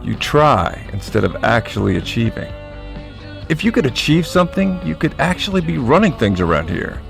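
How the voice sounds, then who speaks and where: A man's voice: medium pitch, big pitch swings, normal speed, creaky, soft background music. An actor playing a domineering, crude and sarcastic crime-boss father in a radio drama, mocking and menacing. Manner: mocking, menacing, theatrical outbursts.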